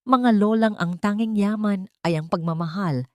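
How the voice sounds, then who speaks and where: A woman narrating a scripted dramatic story in a solemn, sentimental manner with deliberate phrasing. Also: mid-range voice, mellow, dry recording, clean studio sound. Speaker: storyteller, host of an audio story channel.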